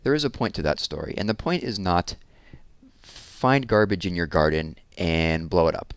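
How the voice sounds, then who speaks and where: A man's voice: low-pitched, expressive, normal speed, smooth, no room sound. A man in his thirties reading children's picture books aloud expressively, with casual asides, on a headset mic indoors. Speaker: read-aloud storyteller. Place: small room at home, indoors.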